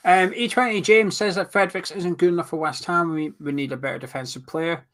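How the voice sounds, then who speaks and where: A man's voice: high-pitched, fairly even intonation, fairly quick, a bit bright. Man reading viewer comments aloud. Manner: reads out comments, teasing banter. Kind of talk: casual live watch-along chat.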